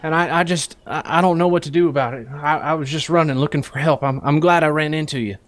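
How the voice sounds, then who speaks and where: A man's voice: fairly high pitch, steady tone, fast, a bit bright, natural voice. A man voicing a frightened young male fugitive with a Texas accent, stammering and out of breath. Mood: scared.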